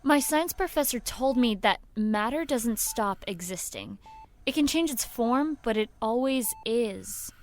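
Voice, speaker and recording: An actress playing an earnest, stubborn, curious young woman in an audio drama, speaking with quiet determination. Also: a little high, animated, measured pace. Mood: earnest, determined. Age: young adult.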